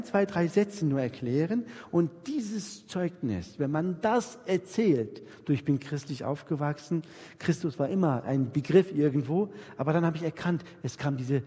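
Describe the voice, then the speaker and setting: A man's voice: fairly high pitch, dark tone, breathy edge. A man leading an interactive church workshop, coaching his audience emphatically, repeating himself and often checking that they follow. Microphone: microphone for a live recording. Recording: reverberant hall with long echo.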